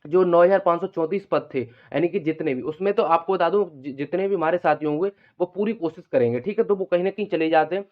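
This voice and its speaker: Fast-talking, higher-pitched man. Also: narrow, tinny audio. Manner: repetitive, explanatory, frequent check-in tags. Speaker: exam-news video host, coaching aspirants. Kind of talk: informal unscripted exam update monologue.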